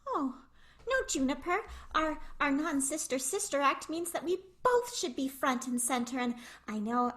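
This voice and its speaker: A woman's voice: high voice, animated, normal speed, fairly bright tone. A woman playing a shy stammering showgirl. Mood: earnest. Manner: hesitant, stammering. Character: shy, lovestruck young vaudeville woman. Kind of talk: improvised radio play.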